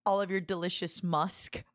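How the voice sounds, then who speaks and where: A woman's voice: fairly low pitch, fairly bright tone. A woman from Ukraine with a Russian accent, streaming a casual reaction, giggly and self-deprecating, now and then squealing in delight.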